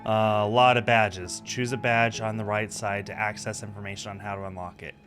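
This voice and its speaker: A man's voice: on the low side, little pitch movement, fairly quick, a bit bright. A man in his thirties giving casual game stream commentary and reading on-screen text aloud. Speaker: streamer, host. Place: indoor room at a streaming setup. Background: music.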